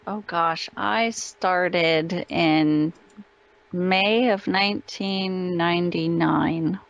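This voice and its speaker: A woman's voice: fairly low pitch, fairly even intonation, extremely slow, vocal fry, muffled recording. A woman answering as a guest in a casual interview, telling stories in an easygoing way.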